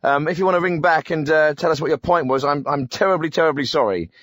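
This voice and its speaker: An actor playing a defensive, tactless English radio phone-in host, blunt but often hesitant and flustered. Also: male voice, on the high side, fast talker, a bit bright, dry recording, clean studio sound, close to an everyday voice. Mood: sheepish. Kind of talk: spoof live radio phone-in.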